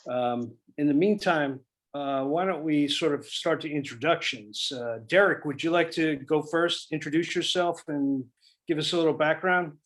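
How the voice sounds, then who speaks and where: A man hosting a table read on a video call, polite and hesitant, with many pauses and fillers. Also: a little high, fairly bright tone. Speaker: writer and host of the table read. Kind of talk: casual video call chat.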